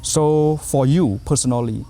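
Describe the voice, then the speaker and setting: Polite host, hesitant. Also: male voice, medium pitch, a little slow, warm tone, a little hiss or hum. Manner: polite, formal, many hesitations. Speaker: interview host. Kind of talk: hosting an interview.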